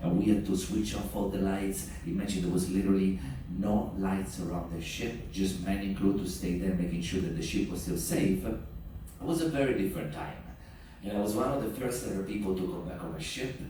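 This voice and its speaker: A man's voice: sits low, brisk, slight background noise. A man working as a cruise director, telling jokes and stories to a live audience on board. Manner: joking, animated storyteller. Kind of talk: lively onboard talk to an audience. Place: large room on a cruise ship. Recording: distant, very echoey room.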